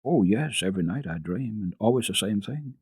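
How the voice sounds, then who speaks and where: A man voicing a small, frightened, homesick girl in a storybook, with a halting, faint and earnest delivery. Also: fairly low pitch, animated, darkish tone, slightly rough, dry recording, studio quality. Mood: wistful.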